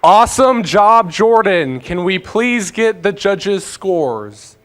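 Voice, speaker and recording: A man with a high voice announces slowly and upbeat, introducing poets at an event. Faint noise sits under his voice. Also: sing-song. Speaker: event emcee.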